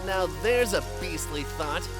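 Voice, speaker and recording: A man's voice: high voice, lively intonation, measured pace, over loud music. A man playing an arrogant, cruel young noble squire in a fantasy fan dub, sneering and declamatory. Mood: scornful. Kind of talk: theatrical archaic fantasy dialogue in a fan dub.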